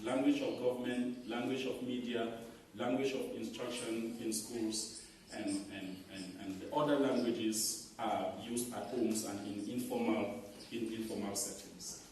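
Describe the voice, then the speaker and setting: A man from Cameroon answering a conference question in a measured way, often repeating words as he thinks. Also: mid-range voice, steady tone, darkish tone, slight background noise. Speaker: conference speaker. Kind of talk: academic Q&A answer. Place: conference hall. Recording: echoey hall from far off.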